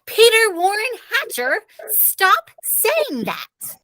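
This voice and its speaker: A woman voicing a busy, dismissive mother in a children's storybook, brisk and mildly scolding. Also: very high voice, huge pitch swings, pushed and bright, exaggerated voice. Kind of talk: children's storybook read-aloud.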